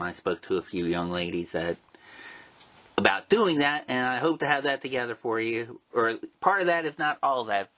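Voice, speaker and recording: Male show host, low-ish pitch, casual and courteous, feeling his way through phrases. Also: fairly bright tone. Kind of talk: casual unscripted sign-off.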